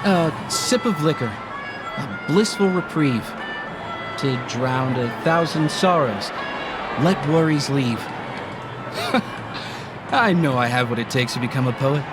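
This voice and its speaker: An actress playing a tipsy, wistful drunkard who fancies herself a poet in a video game, languid and woozy. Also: female voice, rumbling low, lively intonation, takes it slowly, pauses often, exaggerated voice. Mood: woozy, dreamy. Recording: background music under the voice.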